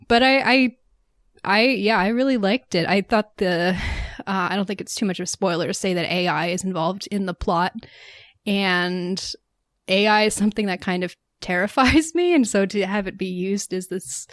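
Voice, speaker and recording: A woman's voice: medium pitch, no echo. A woman co-hosting a film podcast, chatting casually about movies, with lots of fillers and laughs. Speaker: podcast co-host.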